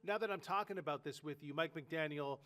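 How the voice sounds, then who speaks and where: A man with a higher-set voice talks sports in a loose, rambling way, full of "you know" and "uh" as he circles back on his points. A head cold leaves him sounding stuffed-up and nasal. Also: fairly quick, fairly bright tone. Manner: rambling, lots of fillers and restarts. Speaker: sports podcast co-host. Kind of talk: casual sports talk.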